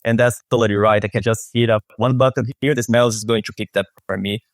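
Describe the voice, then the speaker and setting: Brazilian-accented man demoing software. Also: on the low side, fairly even intonation, quick pace, no echo, studio quality. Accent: Brazilian Portuguese. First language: Brazilian Portuguese. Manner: frequent restarts and repeated words. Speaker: product specialist guest. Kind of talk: software demo. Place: office with shelves. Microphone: webcam on video call.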